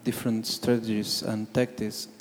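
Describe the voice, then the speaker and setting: Man with a flat, level delivery. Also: lowish voice, a little hiss or hum. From Croatia. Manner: halting, many restarts. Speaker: audience member. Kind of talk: panel discussion comment. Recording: long hall reverb.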